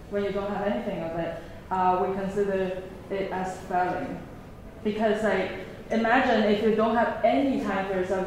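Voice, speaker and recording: A woman's voice: mid-range voice, darkish tone. A woman leading a workshop game and explaining its rules to participants in a friendly, instructive way. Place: large echoey museum room. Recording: very echoey room with background noise.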